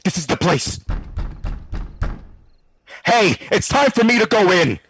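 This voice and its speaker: A man's voice: high voice, very animated, takes it slowly, fairly bright tone, slight background noise, full character voice. An actor playing a drunken, rambling, agitated man hunting for a door, talking to an unseen listener in an audio drama. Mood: drunken searching. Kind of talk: audio drama found-tape monologue.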